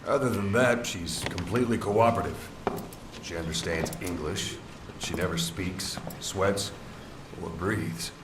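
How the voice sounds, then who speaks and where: An actor playing a pragmatic military officer in a video game, speaking in a measured, matter-of-fact way with halting pauses. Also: male voice, deep voice, distant mic. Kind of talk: video game cutscene acting. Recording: echoey, noisy room, off the mic.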